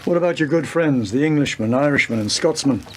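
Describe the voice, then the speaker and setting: A man's voice: mid-range voice, a little fast, close to an everyday voice. A world-weary male police chief inspector played by an actor in a radio drama. He speaks in a mid-range voice with dry, probing, clipped questions. There is noticeable ambient noise behind him. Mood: businesslike. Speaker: actor in a community radio play.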